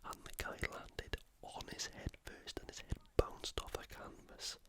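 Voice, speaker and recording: Whispering ASMR host, fully breathy. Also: measured pace. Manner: casual, conversational. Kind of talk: whispered ASMR commentary on sport and gameplay.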